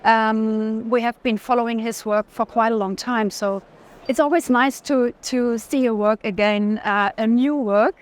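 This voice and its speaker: A woman's voice: on the high side, fairly even intonation, unhurried. A woman speaking as an interview guest and collector, conversational and often searching for words. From Germany. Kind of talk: unscripted conversation.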